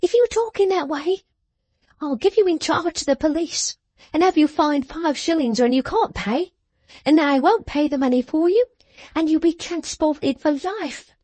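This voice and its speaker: A shrill, bossy girl, as a woman reader puts her on, snapping out her scolding in a high, lively voice. The tone is mellow rather than harsh, and the sound is dry and close. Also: moderate pace, no echo. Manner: sharp, snapping, emphatic. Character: sharp-tongued scolding girl dressmaker, bossy.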